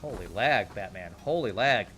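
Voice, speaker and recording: A man's voice: lowish voice, animated, normal speed, bright, forceful tone, slight background noise. A man with a slight Texas twang, thinking aloud as he casually narrates his gameplay. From California, raised in Texas from age nine. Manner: thinking aloud, mumbling asides. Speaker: gaming channel host.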